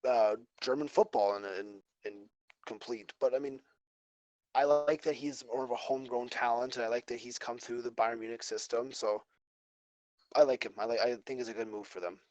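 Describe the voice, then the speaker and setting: A man's voice: medium pitch, steady tone, normal speed, darkish tone, highs cut off. A man co-hosting a casual football podcast, giving opinions in a rambling way with frequent "I mean". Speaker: co-host.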